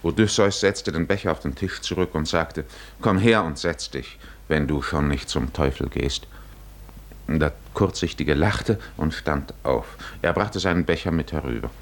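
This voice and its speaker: Grave gruff storyteller in an old 50s radio play, really deep voice. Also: male voice, moderate pace. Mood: grave, suspenseful. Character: grave male storyteller, gruff and curt in dialogue. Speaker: narrator, also voicing the curt hero's lines. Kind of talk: 1950s German radio drama narration.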